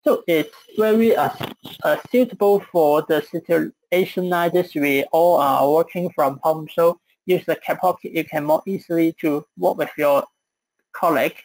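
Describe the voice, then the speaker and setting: A man with a Chinese accent presenting software in a webinar, hesitant with frequent fillers. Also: on the high side, moderate pace, no echo, low-quality recording.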